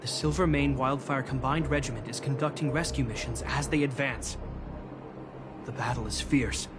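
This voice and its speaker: A man's voice: medium pitch, normal speed, music in the background, natural voice. An actor playing an earnest, duty-bound young male military commander, formal and resolute, in a video game. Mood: commanding.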